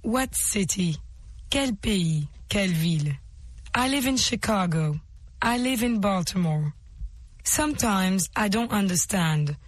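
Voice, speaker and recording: Female lesson narrator, measured and instructive, husky tone. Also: medium pitch, lively intonation, no room sound. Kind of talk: scripted language-lesson narration.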